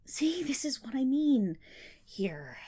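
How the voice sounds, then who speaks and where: A woman playing an affectionate, teasing selkie in an ASMR roleplay, speaking softly and breathily with a lilt and fond scolding. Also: high voice, sing-song, takes it slowly, husky, heavily acted. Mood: fondly teasing.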